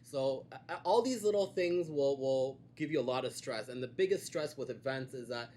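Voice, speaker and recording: Calm man talking with lots of "uh"s. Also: mid-range voice. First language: Cantonese. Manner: measured, hesitant with many fillers. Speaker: guest, events and media producer. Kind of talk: casual conversation. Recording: faint background noise.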